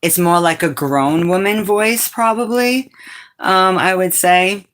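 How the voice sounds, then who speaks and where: Raspy-voiced woman from Brazil. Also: lowish voice, slow pace, fairly bright tone. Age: middle-aged. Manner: laughs often, calls viewers sweetheart and darling. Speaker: livestream host. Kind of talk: casual chat answering viewers.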